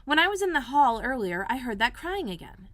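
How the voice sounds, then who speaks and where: A woman's voice: high-pitched, sing-song, fairly quick, fairly bright tone, dry recording, pitched above the performer's own voice. A woman voicing a curious, earnest young girl full of wonder in a storybook. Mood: puzzled, curious.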